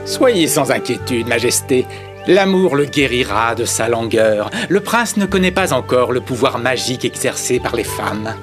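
A man playing a sly, eager royal courtier in an animated film, deferential and unctuous in manner. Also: medium pitch, moderate pace, soft background music, lightly acted. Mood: reassuring.

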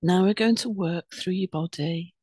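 A woman leading a guided meditation in a soothing, unhurried, instructing way with pauses between phrases. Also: on the low side, darkish tone, slightly rough, dry recording, clean studio sound.

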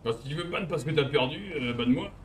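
A man's voice: on the high side, expressive, fast. A young man chatting bluntly and provocatively while playing an online roleplay game. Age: young adult. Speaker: streamer trolling in a roleplay game. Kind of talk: casual gaming chat. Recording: faint background game noise.

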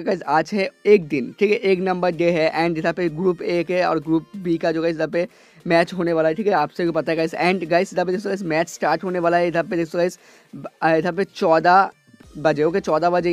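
Hoarse young man rattling through a gaming update. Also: fairly high pitch. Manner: repeats "guys" constantly, rambling filler-heavy delivery. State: hoarse from a cold. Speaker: gaming YouTuber. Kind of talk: casual screen-recorded gaming update.

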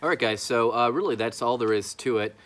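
A man's voice: medium pitch, a little fast, a bit bright. A man in his thirties talking casually to camera outdoors, with a hesitant, informal delivery, as an amateur radio vlogger. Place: outdoor backyard patio. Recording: clearly noisy outdoor recording.

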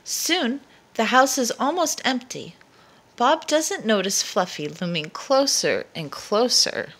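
Animated woman reading a children's storybook. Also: a little high, slight background noise. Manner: deliberate, dramatic emphasis for young listeners. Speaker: storyteller reading a picture book aloud. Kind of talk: expressive children's read-aloud narration.

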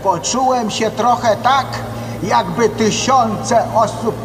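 An actor playing a gentle, philosophical old grandfather in an audio drama, speaking tenderly. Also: extremely high pitch, slow, a crowd in the background, poor audio. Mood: wondering, relieved. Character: gentle old grandfather guiding a boy through afterlife.

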